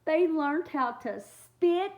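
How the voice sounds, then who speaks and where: A woman reading a picture book aloud to children in an expressive way, often chatting directly to her young listeners. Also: sits high, slow, phone-quality sound. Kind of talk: animated read-aloud with chatty asides.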